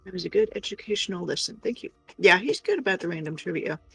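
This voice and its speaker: A woman co-hosting a casual livestream and reading out viewer messages in a husky, creaky voice. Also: lowish voice, expressive, fast, dry recording, poor audio. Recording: music playing behind the voice. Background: music.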